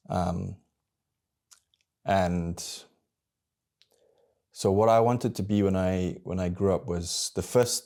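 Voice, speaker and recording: Low gravelly reflective man, thirties. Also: mellow. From Malaysia. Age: 30s. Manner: halting, searching for words, many restarts; candid and introspective. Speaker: vlogger. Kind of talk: personal reflective monologue.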